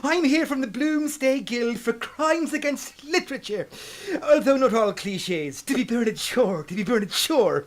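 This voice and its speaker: A man's voice: very high voice, expressive, moderate pace, hardly any pauses. A man playing a pompous old Irish judge in a comic radio serial, blustering and bellowing for order. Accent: Irish, put on. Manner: blustering, theatrical, shouting orders.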